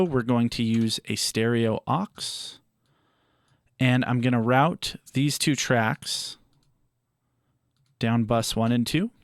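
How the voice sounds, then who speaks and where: A man narrates a step-by-step software tutorial. His voice sits at an average male pitch and he explains things in a measured manner. The recording is close and dry, with hardly any room sound. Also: creaky, dry recording. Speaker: audio tutorial presenter.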